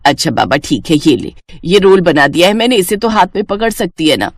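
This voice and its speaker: Woman voicing a doting, low-pitched Indian mother. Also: expressive, brisk, dry recording, clean studio sound. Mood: coaxing. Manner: coaxing, affectionate, mock-scolding. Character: doting middle-aged Indian mother, gently scolding. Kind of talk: animated Hindi moral story dialogue.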